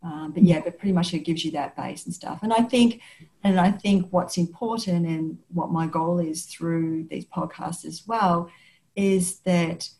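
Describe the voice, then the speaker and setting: A woman's voice: on the low side, unhurried, warm tone, rough recording. An Australian woman hosting a podcast, talking in a thoughtful, casual way and often restarting her sentences. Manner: thoughtful, restarts sentences, frequent affirming murmurs. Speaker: podcast host. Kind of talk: casual interview chat.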